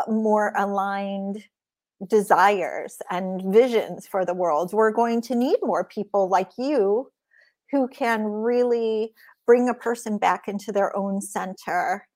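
A woman hosts a podcast conversation over a video call, her voice sitting at a plain middle pitch. She is chatty and upbeat, affirming her guest and speaking directly to listeners. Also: a little mellow. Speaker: podcast host. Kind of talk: casual interview chat.

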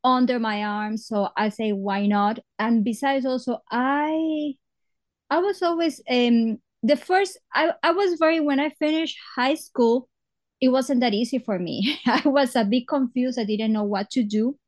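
A woman from Ecuador with an Ecuadorian Spanish accent, a Spanish teacher and guest, chatting in an animated way with laughs and restarts. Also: fairly high pitch, a little slow. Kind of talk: casual interview talk.

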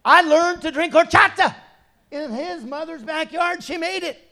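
A middle-aged man preaching a sermon in a conversational way, dropping to quiet asides and rising to loud, emphatic points. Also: very high voice, unhurried. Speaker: pastor.

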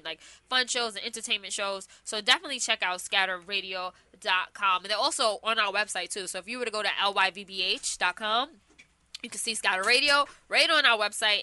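A woman's voice: mid-range voice, pushed and bright, clean tone, no echo. A young woman hosting a community radio talk show in a studio, chatty and a bit nasal from congestion, rambling with fillers. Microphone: studio microphone with headphones.

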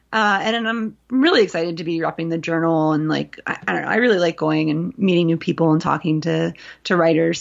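Chatty thirties woman co-host, gravelly lower voice, dull lo-fi audio. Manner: chatty, lots of fillers and restarts. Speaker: podcast co-host and journal editor. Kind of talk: casual podcast chat.

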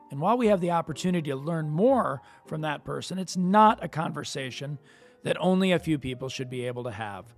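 Male announcer, slightly high voice, scripted promotional appeal over music.

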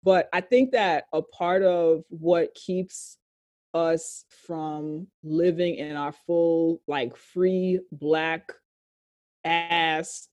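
A woman with a deep voice, co-hosting a podcast in a candid casual discussion, emphatic and profane, stretching out key phrases. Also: fairly even intonation, very slow, darkish tone, no echo. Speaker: podcast co-host.

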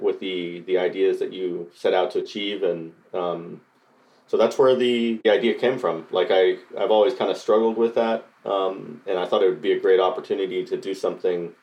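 A middle-aged man from Costa Rica with a deep, low-pitched voice. He answers interview questions in a halting way, full of fillers and restarts as he gathers his thoughts. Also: darkish tone, rough recording. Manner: hesitant, many fillers and restarts. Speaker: coding bootcamp graduate.

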